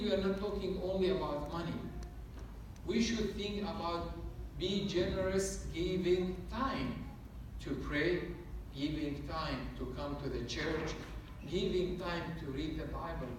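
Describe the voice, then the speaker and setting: A man's voice: on the high side, a little mellow, distant mic. Man, priest, earnest sermon, very echoey church, faint hum behind. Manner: earnest, repetitive preaching cadence.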